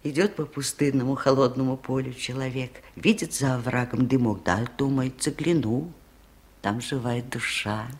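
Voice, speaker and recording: A woman's voice: a bass voice, normal speed, darkish tone. An older woman playing a wise, lonely village aunt in a 1970s radio play, speaking in a folksy, musing way. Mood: wistful, earnest.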